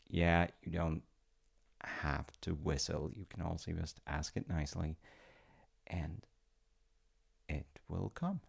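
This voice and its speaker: A man playing a playful, tender wizard fiancé in an intimate ASMR-style audio roleplay, speaking to the listener in a gentle, coaxing way. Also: deep voice, slow, vocal fry, long pauses, breathy edge, heavily acted. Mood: playful, excited. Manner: gentle, coaxing, often dropping into whispers. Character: playful, tender male wizard fiancé showing off magical home.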